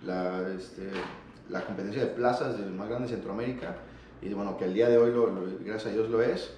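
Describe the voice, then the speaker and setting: A Mexican man with a Mexican Spanish accent, an event host chatting casually in a relaxed, slangy way with many fillers. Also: fairly low pitch, a little fast, highs cut off, background noise. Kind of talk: casual chat.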